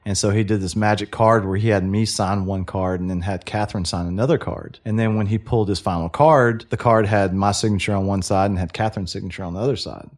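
Folksy guy w a low voice, casual podcast guest, says man and you know a lot. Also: darkish tone. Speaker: podcast guest, hat shop owner. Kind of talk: casual interview storytelling.

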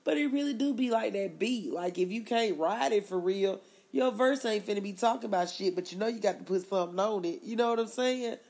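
A woman's voice: medium pitch, fairly quick, vocal fry, a little mellow. A woman, co-hosting a podcast in loose, slangy chat and laughing often. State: stuffy nose. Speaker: podcast co-host. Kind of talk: casual chat.

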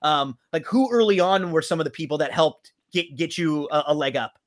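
Higher-pitched male sports interview host. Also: fairly quick. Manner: chummy, addresses guest as buddy. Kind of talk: conversational sports interview hosting.

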